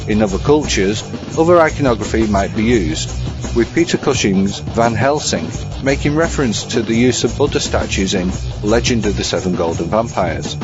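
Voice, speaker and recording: Clear background music plays under a slightly dull recording of a man. His voice is mid to low, delivering dry, bookish scripted commentary as a podcast presenter. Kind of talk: scripted film and book commentary.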